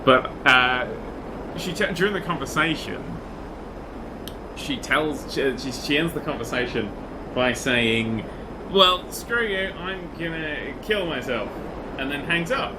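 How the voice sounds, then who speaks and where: A man's voice: on the high side, animated, unhurried, background noise. A man telling stories casually and comically as a livestream co-host, swearing and restarting his phrases. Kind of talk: casual comic storytelling and banter.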